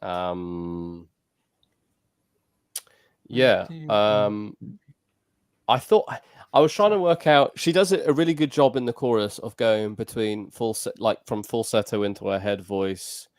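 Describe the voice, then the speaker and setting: A man's voice: on the low side, slow, pauses often, clear, resonant tone. A man co-hosting a music review podcast, chatting hesitantly with many ums while praising a singer's vocals. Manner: hesitant, lots of ums, enthusiastic about vocals. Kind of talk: casual chat.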